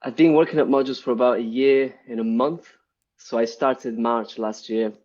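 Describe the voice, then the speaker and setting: Mellow low-voiced man on a lo-fi call. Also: steady tone, moderate pace. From Brazil. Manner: hesitant, many ums and restarts. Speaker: guest, UI developer. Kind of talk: casual live-stream interview. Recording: dull lo-fi stream audio.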